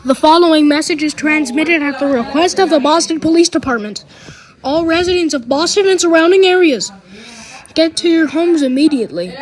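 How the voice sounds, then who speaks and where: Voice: high-pitched, natural voice, low-quality recording. A woman playing a stern emergency alert announcer, giving formal, repetitive public warnings in an amateur mock broadcast.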